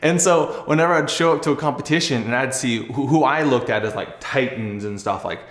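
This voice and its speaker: A man's voice: medium pitch, a little fast, roomy sound. A young man telling a personal story casually to camera, earnest, conversational and reflective. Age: young adult. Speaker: athlete and video host.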